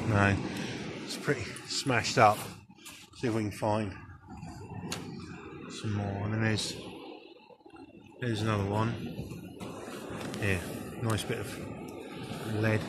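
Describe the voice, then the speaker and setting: A middle-aged British man gives casual, off-the-cuff commentary in a halting, musing way as he looks around. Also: on the low side, fairly even intonation, very noisy. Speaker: vlogger. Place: outdoors on a beach below cliffs.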